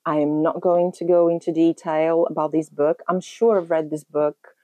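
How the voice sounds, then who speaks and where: A woman's voice: sits low, flat delivery, normal speed, mellow, no room sound. A woman in her thirties from Brazil, native Portuguese speaker, chatting casually in a home book haul vlog and thinking aloud with ums and restarts. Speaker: book channel host. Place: room at home in front of a bookshelf.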